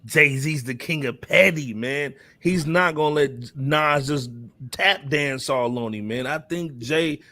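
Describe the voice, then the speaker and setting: A man's voice: on the high side, slow, pushed and bright, no room sound. An American man hosting a casual hip hop talk show, speaking in loose slang and often tagging phrases with "man". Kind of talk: casual panel chat about hip hop.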